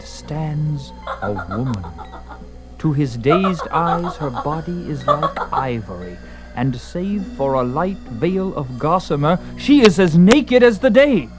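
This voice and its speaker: A man with a fairly high voice tells a dramatic fantasy story as narrator. His delivery is slow, theatrical and intense, with music softly under it. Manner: theatrical, intense, deliberate phrasing.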